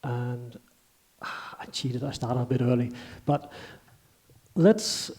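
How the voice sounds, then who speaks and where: A man's voice: mid-range voice, slow pace, a little mellow. A man with a Danish accent giving a technical conference talk on stage, in a conversational way with loose phrasing and self-corrections. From Denmark. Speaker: conference speaker. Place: conference stage in a large hall. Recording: long hall reverb.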